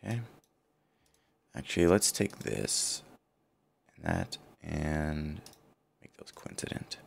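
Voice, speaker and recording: Deep, gravelly male tutor with a worn-out voice, calm self-correcting software walkthrough. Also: slow pace. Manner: thinking aloud, self-correcting. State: partly lost voice, low and rough. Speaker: CAD tutorial instructor. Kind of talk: screen-recorded software walkthrough.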